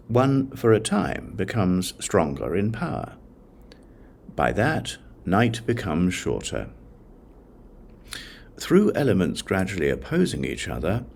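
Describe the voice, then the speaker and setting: Male narrator for sacred-text audiobook: low, gravelly, measured reading with varied intonation, faint background noise. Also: darkish tone.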